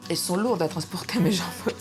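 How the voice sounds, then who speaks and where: A woman in her thirties from Sardinia with an Italian accent, talking haltingly in a documentary interview. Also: on the low side. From Sardinia, Italy. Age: 30s. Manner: hesitant, many restarts and fillers. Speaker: cosmetic surgery patient. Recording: music playing underneath.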